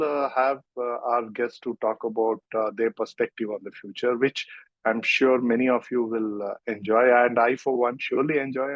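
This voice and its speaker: A man hosting a podcast, introducing his guest in a halting way with frequent fillers. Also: medium pitch, no echo, dull audio. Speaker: podcast host. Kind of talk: hesitant interview introduction.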